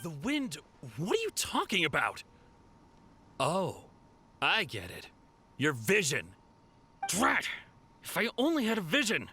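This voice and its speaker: A man's voice: sits high, wildly swooping pitch, slow, pauses often, pushed and bright, a little hiss or hum, full character voice. A man voicing a boastful, scheming thief in an anime game, theatrical and exclamatory, with music playing behind him. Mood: frustrated envy. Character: boastful, excitable male thief, defiant and scheming.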